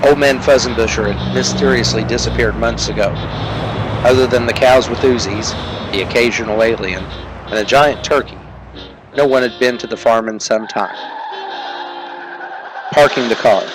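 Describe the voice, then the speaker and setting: Deadpan man narrating a detective spoof. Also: medium pitch, moderate pace, long pauses, noisy background. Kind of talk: deadpan hard-boiled detective parody narration.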